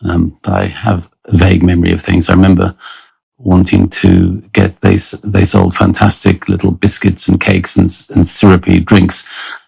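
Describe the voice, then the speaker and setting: A London man of about sixty talks as an interview guest, low and gravelly. His delivery is even and understated, in plain conversational reminiscence. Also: rough recording. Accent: London English. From London, England; born in Lebanon.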